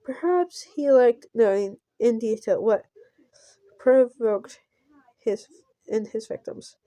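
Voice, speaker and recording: A woman's voice: a little high, slow, dark tone, dry recording. A woman reading a visual novel aloud as a casual playthrough, stumbling over and repeating words as she goes. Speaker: game player narrator.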